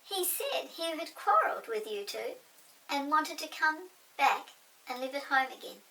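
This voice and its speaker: Lady putting on a sweet old pixie mum, squeaky high and swoopy, storybook voice. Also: very animated, measured pace, pushed and bright, far from the mic, heavily acted, low-quality recording. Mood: worried. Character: kindly old female pixie mother.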